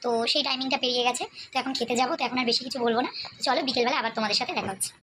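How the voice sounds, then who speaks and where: A woman's voice: a little high, very fast. A woman with a West Bengal Bengali accent talking casually to viewers as a vlogger, chatty and mixing English words into her talk. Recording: faint background noise.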